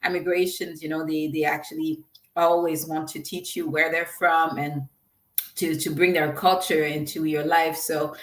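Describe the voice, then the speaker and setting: A woman's voice: low-pitched, flat delivery, moderate pace. Laughing woman, echoey room. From Quebec, Canada. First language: French. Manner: laughs often, warm and chatty. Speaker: singer-songwriter guest. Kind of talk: casual interview chat.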